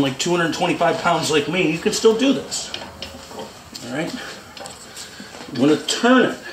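A man in his forties hosting a casual how-to demonstration in his garage, chatty and joking while he works. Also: high voice. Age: 40s. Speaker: homestead channel host. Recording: echoey garage, distant mic, noisy.

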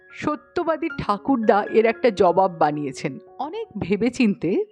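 A woman's voice: a little high, darkish tone, dry recording. A woman narrating a humorous story expressively, acting out the dialogue. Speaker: audiobook narrator.

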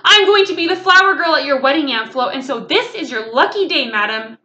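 A woman voicing an excitable, bossy little girl in a children's storybook, gushing and emphatic with childish grammar. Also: a little high, measured pace, muffled recording. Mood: boastful excitement. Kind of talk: children's storybook read-aloud.

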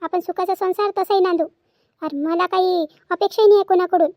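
Woman voicing a very high-pitched humble young wife. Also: steady tone, fairly quick, a little mellow, dry recording, clean studio sound. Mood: tender, modest. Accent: Varhadi Marathi. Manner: hesitant, modest. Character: humble, gentle young wife, modest and anxious. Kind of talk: cartoon family drama dialogue.

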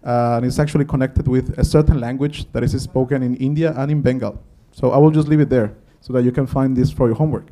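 Dark-voiced man with a Guatemalan Spanish accent. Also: medium pitch, steady tone, fast talker, few pauses. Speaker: conference speaker. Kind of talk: public lecture. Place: conference auditorium. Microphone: handheld microphone.

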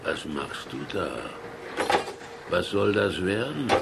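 An actor playing a naive, good-natured, slow-witted henchman creature in a children's audio drama, hesitant, questioning and repeating himself. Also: low-pitched, sing-song, gravelly, noisy background, exaggerated voice. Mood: curious, meek.

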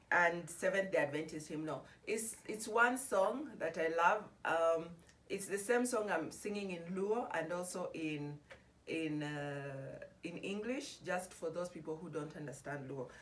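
A middle-aged woman from Kenya giving a soft-spoken, halting devotional introduction before singing a hymn. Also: fairly low pitch, a little hiss or hum. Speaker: gospel singer. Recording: voice a bit far from the mic.

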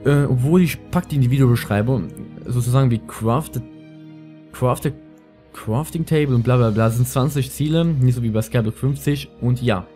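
Young male let's player, lisping, soft-edged mellow tone, chatty slangy rambling, light music underneath. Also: mid-range voice, normal speed. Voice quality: lisp. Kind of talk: casual gameplay commentary.

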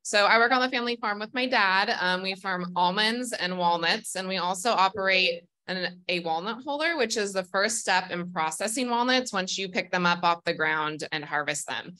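Bright young Californian woman panelist. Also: medium pitch, normal speed, few pauses. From Northern California. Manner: friendly, explanatory, many ums. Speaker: farmer panelist. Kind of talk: conference panel talk. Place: conference room with audience.

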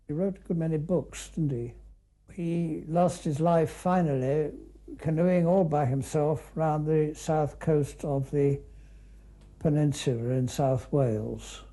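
Older British man, very mellow voice. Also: on the high side. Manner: unscripted, conversational, with clipped musing asides. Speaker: canoeist recalling an expedition. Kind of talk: reminiscing film commentary.

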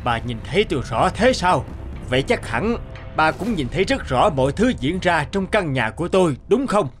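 Stern cartoon avenger, accusing, menacing, animated pitch, music behind. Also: male voice, moderate pace. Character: stern, vengeful fairy-tale man punishing a thief. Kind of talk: animated fairy tale acting.

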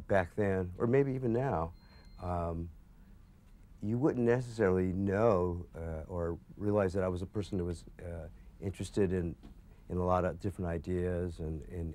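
Low-voiced man, halting and reflective. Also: steady tone, mellow. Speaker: library patron interviewee. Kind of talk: unscripted interview testimonial. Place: indoors in a library.

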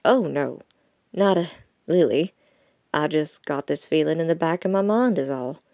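A woman's voice: low voice, lively intonation, normal speed, dark tone, no room sound. A woman voicing an older male wolf, a folksy, good-humored farm father, in an audiobook story. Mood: warm, reassuring. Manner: folksy rural phrasing with y'all and ain't-style grammar. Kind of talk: audiobook character dialogue.

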